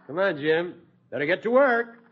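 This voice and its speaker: A man's voice: high voice, expressive, normal speed. An American man playing a jovial, folksy middle-aged businessman in a 1950s radio sitcom. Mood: jovial, insistent. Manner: hearty, chuckling, folksy. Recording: dull old radio broadcast recording.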